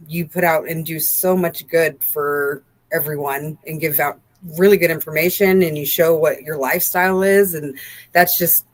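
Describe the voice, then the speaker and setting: A woman in her thirties joins a video call from a room at home. Her voice sits a little low for a woman. She asks her questions in a friendly, curious way and giggles easily. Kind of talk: casual video-call interview.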